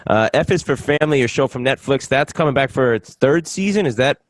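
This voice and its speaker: A man's voice: medium pitch, lively intonation, fairly quick, dry recording. A man co-hosting a podcast, speaking in a friendly, casual way. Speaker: podcast co-host.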